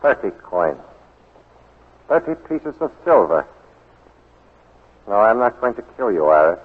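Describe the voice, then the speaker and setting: An actor playing a cold, imperious Japanese baron in a 1940s radio drama, speaking formally and gravely. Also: male voice, fairly low pitch, sing-song, a little slow, full character voice. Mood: coldly menacing. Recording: hollow, lo-fi 1940s radio recording with long reverb.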